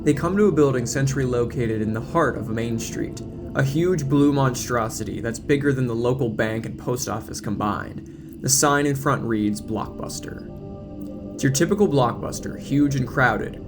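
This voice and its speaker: A man delivers scripted dramatic narration for an audio story. Music plays clearly behind his voice as he sets out each scene. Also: medium pitch, moderate pace.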